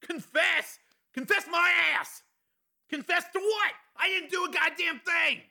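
A man voicing a big, hot-tempered working-class man in an audiobook, raspy and strained, blustering in coarse slang. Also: extremely high pitch, a little slow, bright, forceful tone, pitched above the performer's own voice, full character voice. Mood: aggrieved, bitter. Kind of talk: audiobook character acting.

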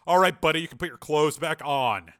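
A man voicing a gruff, bullying army sergeant in an audiobook, coarse and commanding. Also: on the high side, a little fast, sharp tone, voice pushed up.

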